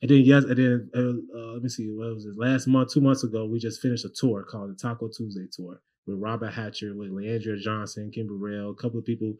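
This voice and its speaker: A man in his twenties, a guest minister, talking about his life in a casual, animated way, often repeating and restarting. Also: lowish voice, fairly quick, hardly any pauses. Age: 20s. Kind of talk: casual interview talk.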